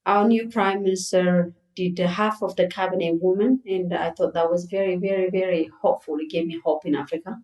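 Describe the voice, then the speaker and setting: Middle-aged woman from ethiopia, lowish voice, earnest, repeats words, echoey room. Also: fairly even intonation, fairly quick, few pauses, darkish tone. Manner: earnest, repeats words for emphasis, laughs often. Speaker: circus founder and guest. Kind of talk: conversational interview answers.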